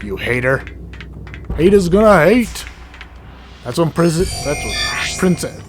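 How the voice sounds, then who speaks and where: A hoarse man, sick, giving rambling casual commentary while playing a game, often stuttering and correcting himself. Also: fairly high pitch, big pitch swings, a little slow. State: hoarse from illness. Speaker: let's play gamer. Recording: game music underneath.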